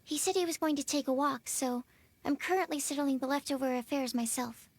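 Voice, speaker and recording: High-voiced, earnest young alchemy researcher. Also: female voice, a little fast. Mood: reflective. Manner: hesitant, thoughtful, polite. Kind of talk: video game cutscene acting.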